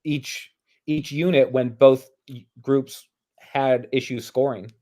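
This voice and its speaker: A man's voice: mid-range voice, fairly even intonation, takes it slowly, mellow. A man co-commentating casually on a basketball game, blunt and slangy, with opinions and some swearing. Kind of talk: casual chat and live game reactions.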